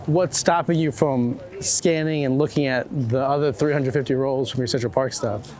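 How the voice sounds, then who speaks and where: A man's voice: mid-range voice, normal speed, a little mellow. A man hosting a casual interview, speaking conversationally and putting direct, probing questions. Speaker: host and interviewer.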